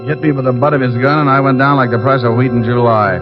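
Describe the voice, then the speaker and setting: A man's voice: mid-range voice, steady tone, fast, music in the background, natural voice. A man playing a wisecracking private detective in a mid-century radio drama, dry and glib in a hard-boiled style. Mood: dry narration. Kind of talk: 1950s radio acting with hard-boiled narration. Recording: muffled old-time radio recording.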